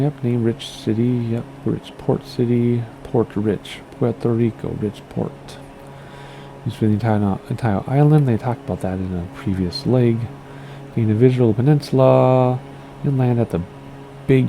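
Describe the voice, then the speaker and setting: A man chats through a flight sim game and reads place notes aloud, voice low-toned, dark and gravelly and clearly wearing out. He rambles playfully and fumbles some names. A faint hum sits under his voice. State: losing his voice. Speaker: gaming streamer. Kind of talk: casual flight sim commentary, reading aloud.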